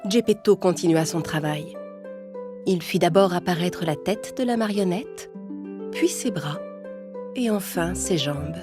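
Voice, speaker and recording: A woman narrating a cartoon fairy tale in a calm, even storytelling voice. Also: on the low side, unhurried, pauses often. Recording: music playing underneath.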